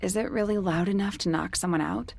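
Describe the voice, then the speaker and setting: A woman's voice: fairly low pitch, fairly even intonation, a little fast, creaky, a little mellow. An actress playing a dry, skeptical detective in a video game, with music behind her. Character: skeptical, dry female detective partner questioning theories. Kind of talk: video game voice acting, dialogue. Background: background music.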